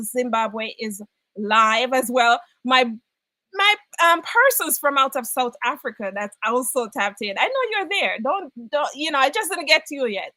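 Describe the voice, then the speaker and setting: A Jamaican woman hosts a casual live broadcast and keeps the chat lively, laughing easily and calling out to viewers. Her voice is bright and sits a little high. The sound is dry and close. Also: no echo. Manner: lively, laughs often, reads out viewer comments.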